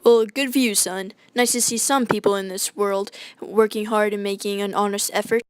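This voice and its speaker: A woman playing a proud, hot-tempered gentleman in a radio drama, formal and combative in manner. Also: medium pitch, fairly even intonation, normal speed, smooth, no room sound, close to an everyday voice. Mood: sarcastic.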